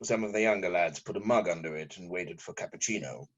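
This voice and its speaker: A man's voice: low-pitched, fast talker, dull audio. A man playing a jaded, sarcastic newspaper journalist in an amateur script table read, with theatrical delivery. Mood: bored sarcasm. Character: sardonic, jaded male newspaper journalist, drunk when young.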